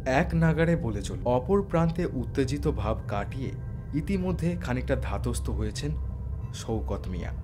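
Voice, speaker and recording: Animated male detective-story narrator. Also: medium pitch, normal speed, music in the background.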